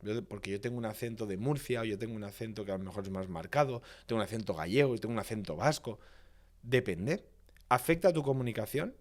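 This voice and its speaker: A man's voice: on the low side, fast. A man from Valencia, Spain, a public speaking expert, explaining his points in a podcast interview with emphatic, didactic repetition. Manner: didactic, emphatic, lists points and repeats phrases for rhythm.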